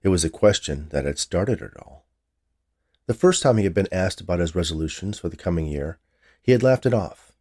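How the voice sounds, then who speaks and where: A man's voice: sits low, expressive, brisk, darkish tone. A man narrating his own short story as a writer reading aloud.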